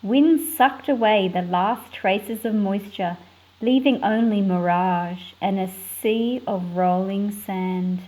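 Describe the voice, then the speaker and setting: Female picture book reader. Medium pitch, measured narration with clear explanatory intent. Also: darkish tone, low-quality recording. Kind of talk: read-aloud narration with short explanatory asides.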